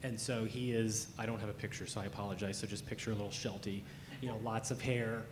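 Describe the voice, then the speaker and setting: A man casually sharing a personal story at a staff introduction, relaxed and easygoing. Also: fairly low pitch, fast. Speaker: staff member. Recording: echoey conference hall with noise.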